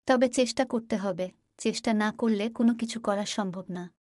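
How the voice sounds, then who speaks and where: A woman's voice: medium pitch, normal speed, a little mellow, no echo, close to an everyday voice. A woman playing a patient village mother, dry sound. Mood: earnest. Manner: explanatory, instructive. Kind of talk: cartoon voice acting.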